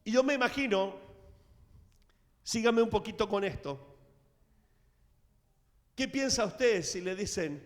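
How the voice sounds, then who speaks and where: A middle-aged man preaching a sermon in a church, telling the story with dramatic swings in loudness. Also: sits high, animated, slow, pauses often. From Latin America. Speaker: pastor. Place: church sanctuary. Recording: reverberant church hall.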